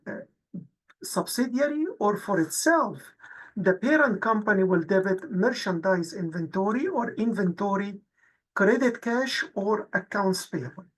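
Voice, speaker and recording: A woman instructor with a husky voice, explaining worked exercises methodically in a tutorial narration. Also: medium pitch, low-quality recording. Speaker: accounting instructor.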